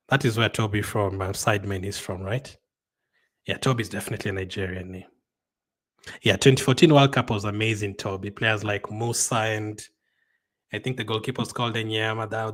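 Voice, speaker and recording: Bright, deep-voiced man chatting on stream. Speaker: livestream host. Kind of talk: casual chat, reading and answering viewer comments.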